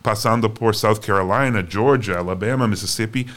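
Low-voiced American man chatting. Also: normal speed, fairly bright tone. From Boston, United States. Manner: joking, chatty, many restarts. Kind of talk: casual chat.

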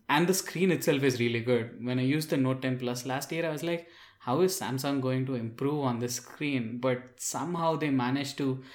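In a noticeably echoey room, a man with a medium-pitched voice talks tech as a podcast co-host. His delivery is casual, opinionated and enthusiastic. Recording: roomy, echoing room sound.

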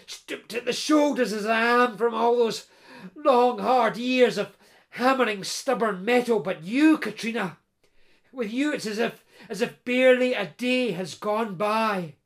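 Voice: mid-range voice, measured pace, natural voice. A storyteller voicing a stammering old blacksmith. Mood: astonished. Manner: stammering, repeating words. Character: aging male blacksmith, grey and scarred, devoted lover.

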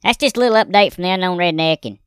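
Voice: medium pitch, racing, background noise, natural voice. A performer doing a folksy Southern redneck husband. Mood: grumbling folksy. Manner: folksy drawl, rambling storytelling with gripes. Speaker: recurring comedy character. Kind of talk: comedic character monologue.

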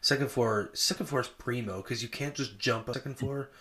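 A man co-hosting a casual movie podcast, laid-back and soft-voiced, filling his talk with "like.". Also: medium pitch, fairly quick. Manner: laid-back, says "like" a lot, self-described soft voice. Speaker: podcast co-host. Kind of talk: casual movie review chat.

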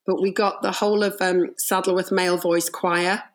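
A woman with a somewhat low, slightly croaky voice. She tells her stories with open warmth and emotion, like someone remembering work she is proud of. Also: flat delivery, normal speed. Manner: warm storytelling, emotional at moments. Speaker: radio drama producer and director. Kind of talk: casual podcast conversation.

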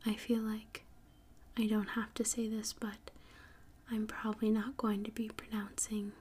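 A woman hosts a sleep podcast in a soft ASMR whisper. Her voice is airy and breathy, with very little rise and fall. She takes her time, carefully repeating and spelling out words at a slow pace. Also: mid-range voice, flat delivery. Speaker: podcast host.

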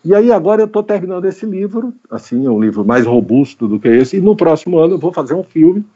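A man's voice: a little high, sing-song, quick pace, dark tone, breathy edge, dull audio. A man speaking as a guest, a writer, in casual conversation, rambling and repeating himself with many fillers. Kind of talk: casual interview chat. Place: home during pandemic.

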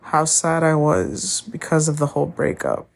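A woman whose first language is Spanish, hosting a podcast and talking candidly about a breakup, often pausing to find her words. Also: low voice, animated, vocal fry. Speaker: podcast host. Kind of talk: personal monologue.

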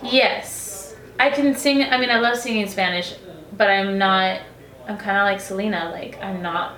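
A chatty young woman tells her story in a relaxed interview, dropping "like" and quick acted-out quotes along the way. Her voice sits at a middle pitch. The room around her gives a clear echo. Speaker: singer being interviewed. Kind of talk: casual interview chat.

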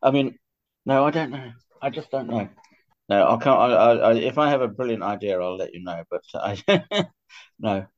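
A man's voice: medium pitch, animated, normal speed, dull audio. An older man who is a podcast guest, talking hesitantly with stammers and apologies. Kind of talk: casual chat.

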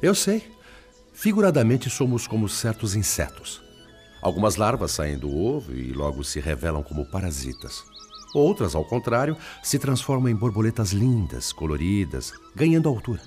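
A deep-voiced, troubled Brazilian spirit. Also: male voice, big pitch swings, normal speed, darkish tone, faint music behind. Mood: humble, thoughtful. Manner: theatrical, earnest, melancholy. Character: weary, troubled male spirit, humble and self-doubting. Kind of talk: Brazilian radio drama acting.

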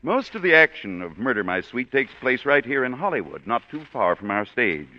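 A man's voice: mid-range voice, big pitch swings, brisk. A man hosting a radio theater broadcast, giving a formal, florid introduction in a polished announcer manner, on a dull lo-fi recording. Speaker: radio show host and producer.

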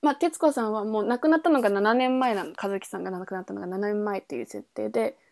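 A woman's voice: medium pitch, animated, fast talker, darkish tone. A woman podcast host talking casually and informally, thinking aloud and correcting herself as she explains things. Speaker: podcast host introducing a book. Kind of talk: casual chat explaining a novel.

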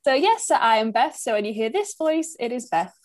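A woman's voice: fairly high pitch, very animated, fairly quick, no echo, clean studio sound. A young woman with a mixed northern English accent, co-hosting a podcast and explaining topics in casual chat with many fillers. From northern England. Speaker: podcast co-host.

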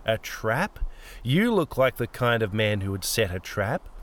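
A man voicing an ancient old woman, a mocking, theatrical grandmother with a croaky edge, in an audiobook over music. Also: on the low side, big pitch swings, unhurried, fairly bright tone, pitched below the performer's own voice.

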